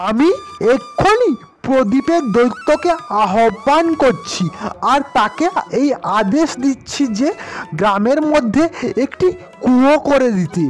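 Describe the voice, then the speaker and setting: A humble, kindly village husband, acted by a cartoon performer, talks earnestly in a high voice. His intonation rises and falls freely. He sounds agreeable and modest, with faint music behind. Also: sing-song, a little slow.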